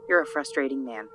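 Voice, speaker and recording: An actress playing an exasperated but caring estranged wife in an audio drama, speaking in a weary, pointed manner. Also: female voice, low voice, a bit bright, heavily acted.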